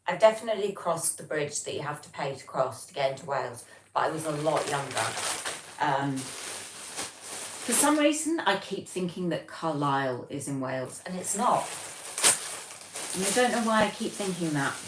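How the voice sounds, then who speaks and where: British female streamer, low register, informal and chatty, recorded at a distance with background noise. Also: big pitch swings, moderate pace. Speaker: livestream host. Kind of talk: casual livestream chat, reading out viewer comments. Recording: roomy, distant mic, noticeable background noise.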